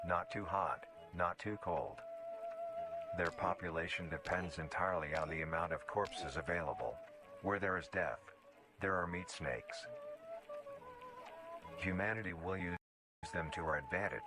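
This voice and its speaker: A man reading scripted narration in the style of a nature documentary, formal, measured and matter-of-fact. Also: low-pitched. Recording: music behind the voice. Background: clearly audible music.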